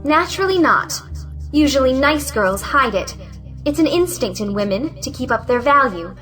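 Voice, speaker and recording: High, bright, defiant young lady, played by a woman. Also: lively intonation, moderate pace, soft background music, low-quality recording. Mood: bitterly frank. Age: young adult. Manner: earnest, plaintive, articulate. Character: defiant, frank young unmarried woman of means. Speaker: actress in an audio play. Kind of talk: theatrical audio drama acting of a 1917 play.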